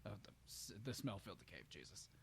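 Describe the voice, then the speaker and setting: A man's voice: lowish voice, sing-song, brisk, a little hiss or hum. A man giving an amateur dramatic reading of fan fiction, stumbling over words and correcting himself. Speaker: story reader.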